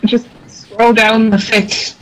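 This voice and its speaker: A woman's voice: on the high side, flat delivery, takes it slowly, a bit bright, poor audio. A woman chatting casually as a co-host on a voice call, giving blunt, short replies. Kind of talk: casual chat.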